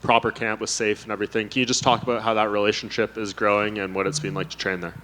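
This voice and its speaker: Low-voiced male reporter. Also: little pitch movement, fast talker, a bit bright. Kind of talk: asking questions at a press event.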